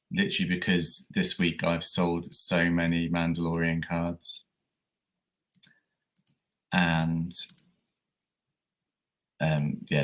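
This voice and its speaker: A man with a croaky voice from a coming cold, chatting casually with many fillers as he hosts a reselling livestream. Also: low voice, fairly bright tone. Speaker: eBay reseller livestream host.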